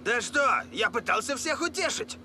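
A man voicing a cocky, breezy star footballer in a dubbed cartoon, with a bright, gravelly, boastful delivery. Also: extremely high pitch, very animated, moderate pace, slight background noise, heavily acted.

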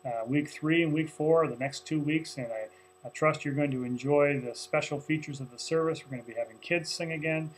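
A man's voice: on the high side, a little fast, slight background noise, poor audio. A man in his forties, a pastor, recapping a sermon to camera from a small office in an earnest, conversational way with many fillers. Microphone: webcam at desk, close framing.

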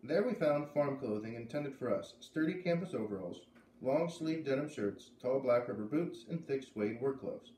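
A man in his thirties reading a story aloud with expressive narration, sitting indoors at a little distance from the camera. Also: medium pitch, moderate pace. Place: living room indoors by a fireplace. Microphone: camera a few feet away. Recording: roomy camera sound, faint noise.

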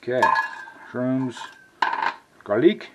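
A man's voice: medium pitch, expressive, extremely slow, heavy background noise. A man hosting a casual cooking video, talking folksy to viewers while he preps and grills. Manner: folksy, talks to viewers as "y'all". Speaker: cooking channel host. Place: home kitchen, then outdoor grill. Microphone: handheld camera mic.

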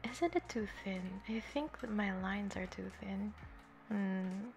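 This is a young woman chatting slowly to her stream while she sketches, with a gravelly fry running through her voice. She giggles often and wanders through her sentences with fillers, speaking close to a desktop microphone at home. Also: medium pitch, expressive, faint music behind. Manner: giggly, rambling with many fillers. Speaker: streamer drawing live. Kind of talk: casual chat while drawing. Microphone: desktop USB microphone.

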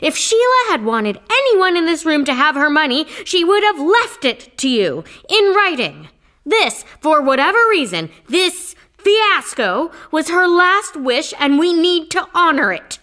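A frazzled memorial officiant. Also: female voice, high voice, unhurried, heavily acted. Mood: exasperated. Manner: exasperated, stern, lively. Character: frazzled woman officiant losing control. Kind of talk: radio play acting.

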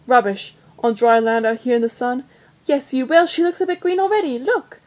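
A woman voicing a bold, teasing schoolboy, an older brother quick to bristle, in a storybook reading. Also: a little high, flat delivery, a little mellow, lightly acted. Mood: gleeful teasing.

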